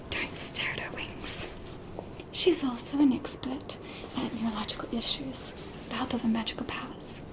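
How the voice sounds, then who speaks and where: An Australian woman whispering softly in a scripted ASMR roleplay, playing a polite, reassuring receptionist nurse at a horror hospital. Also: high-pitched, rough recording.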